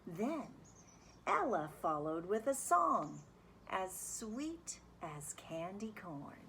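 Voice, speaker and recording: A woman speaking as a music teacher, reading a children's story and explaining it in an animated, deliberate, instructive way. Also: medium pitch, a little hiss or hum. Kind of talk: expressive children's read-aloud and lesson.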